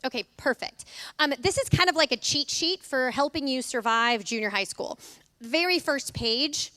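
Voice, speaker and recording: Loud, chatty middle-aged woman. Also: high voice, normal speed, dry recording. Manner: chatty, many fillers and asides. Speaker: school administrator. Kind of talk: casual welcome talk to parents. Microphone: microphone.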